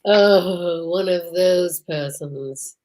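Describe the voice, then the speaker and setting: Woman playing a slow, haughty society wife. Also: lowish voice, warm tone. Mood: snooty. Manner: formal, snooty. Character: haughty, middle-aged upper-class wife, stiff and disapproving. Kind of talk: amateur old-time radio drama.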